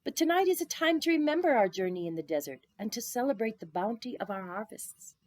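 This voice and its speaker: A woman voicing a kindly, devout, weary male rabbi in a storybook, with an expressive, sometimes chanting delivery. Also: on the high side, brisk, pitched above the performer's own voice, natural voice.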